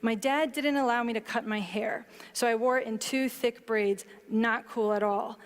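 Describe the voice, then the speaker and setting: A woman's voice: fairly high pitch, steady tone. Woman on a headset mic in a reverberant hall. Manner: explanatory, measured. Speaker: book author on a panel. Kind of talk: panel talk and reading aloud. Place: large hall stage before an audience.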